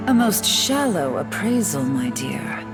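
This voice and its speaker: Poised, teasing demon goddess. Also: female voice, low voice, sing-song, a little slow, vocal fry, full character voice, poor audio. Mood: amused, earnest. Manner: refined, formal, coolly condescending. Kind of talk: dramatic video game voice acting. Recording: loud game music underneath.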